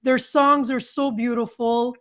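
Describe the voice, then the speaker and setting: A woman's voice: on the high side, steady tone, measured pace, fairly bright tone. An effusive woman from Canada whose first language is Greek, hosting a casual interview on a video call. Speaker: show host.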